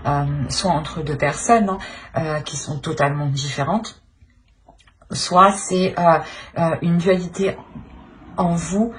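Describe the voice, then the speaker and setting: A woman giving an informal tarot reading, speaking haltingly as she searches for words and often clears her throat. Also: low-pitched, steady tone. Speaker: tarot reader.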